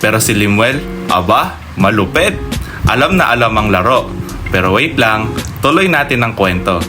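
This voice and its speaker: A man's voice: on the low side, animated, normal speed. Guy hosting a radio drama, scripted narration talking right to listeners, music behind him. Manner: teasing, addresses listeners directly.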